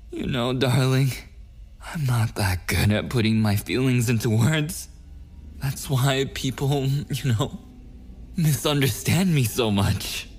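An actor playing a possessive, obsessive and menacing young boyfriend in an intimate ASMR audio roleplay, slipping into breathy whispers. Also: male voice, mid-range voice, a little mellow. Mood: cold, threatening, then desperate pleading.

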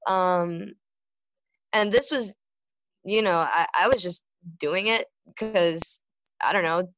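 A woman's voice: fairly low pitch, slow, a bit bright, poor audio. A young woman vocalist answering interview questions in a casual, rambling way, full of fillers and restarts. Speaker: band vocalist.

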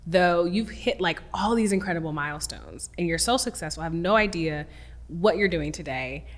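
A woman's voice: lowish voice, moderate pace, in a large hall. A woman hosting a podcast interview, chatty and enthusiastic, with many "like"s. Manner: chatty, enthusiastic, says "like" a lot. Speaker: podcast host. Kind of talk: casual interview chat.

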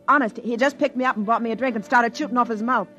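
A woman's voice: a little high, quick pace, fairly bright tone, soft background music, natural voice. A woman playing a wary, streetwise young bar girl in an old-time radio drama, guarded and slangy. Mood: nervous.

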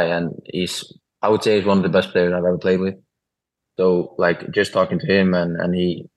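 A young Icelandic footballer with an Icelandic accent, answering interview questions in halting, plain-spoken English with many restarts. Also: male voice, deep voice, poor audio. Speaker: professional footballer guest.

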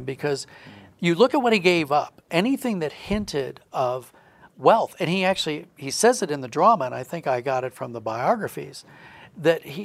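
Male podcast guest, slightly high and gravelly voice, reflective and earnest, conversational religious discussion. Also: no room sound. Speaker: audio drama writer discussing his work.

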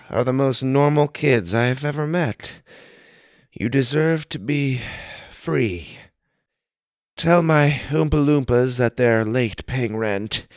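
A man acts out an eccentric chocolate-factory owner for a children's story. He is wounded yet gallant, speaking slowly and dramatically with big swings in pitch. The sound is close and dry. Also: creaky, sharp tone, dry recording, full character voice.

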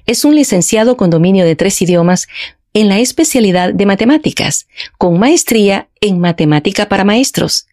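A woman's voice: medium pitch, darkish tone. A woman hosting a podcast, reading a scripted introduction in a formal, ceremonious way. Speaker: podcast host.

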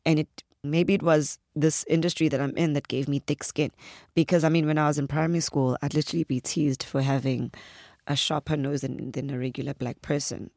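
A woman from South Africa, a guest actress on a podcast interview, with a husky, deep voice, talking in an earnest way that turns animated as she tells stories. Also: brisk, dry recording, studio quality.

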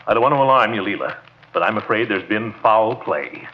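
An actor playing a pompous, grumbling, flustered middle-aged uncle in a 1940s style radio comedy. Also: male voice, lowish voice, lively intonation, brisk, natural voice. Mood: self-important. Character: blustering, flustered, self-important uncle of the house. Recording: noisy, muffled vintage radio recording.

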